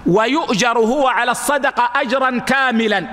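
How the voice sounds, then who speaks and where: A man giving a religious lesson, explaining texts in a measured, teaching way and addressing his listeners as brothers. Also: extremely high pitch, bright, forceful tone, long reverb tail.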